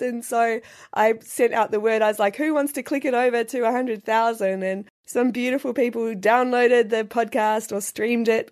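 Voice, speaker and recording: A middle-aged Australian woman hosting a solo podcast, her voice husky, croaky and slightly nasal from a recent illness, chatty and self-deprecating. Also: fairly high pitch, a little mellow. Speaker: podcast host.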